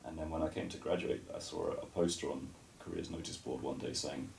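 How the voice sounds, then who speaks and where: This is a very deep, gravelly male voice from the UK, talking quickly but with plenty of hesitation and false starts. He sounds a little away from the mic in a roomy studio with a faint hiss underneath. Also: distant mic. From United Kingdom. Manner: relaxed, hesitant, lots of uh and restarts. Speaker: guest. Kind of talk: casual radio interview.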